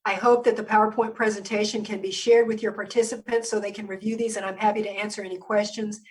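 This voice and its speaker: A woman public health official giving a measured briefing over a video call, hoarse and losing her voice, often stopping to clear her throat. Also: medium pitch, little pitch movement, recorded from a distance, poor audio. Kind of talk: briefing presentation on a virtual meeting.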